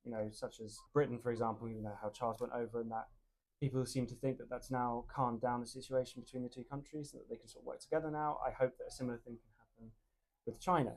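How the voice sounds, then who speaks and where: A man's voice: mid-range voice, flat delivery, fast, low-quality recording. Hesitant, agreeable man co-host. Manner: agreeable, hesitant, with repeated restarts. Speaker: podcast co-host. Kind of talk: casual political chat.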